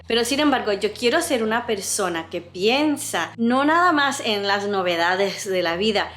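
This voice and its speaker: A woman's voice: fairly high pitch, slight background noise. Earnest woman with an American accent. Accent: American, with mixed Latin American Spanish. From Latin America. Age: 50s. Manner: earnest, thoughtful, speaks with feeling. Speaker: vlogger, host of a frugal living channel. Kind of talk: reflective personal vlog.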